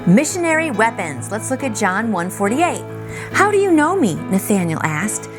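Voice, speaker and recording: A woman's voice: fairly high pitch, very animated, moderate pace. A woman hosting a daily devotional, reading aloud and adding casual, earnest, encouraging asides. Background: music.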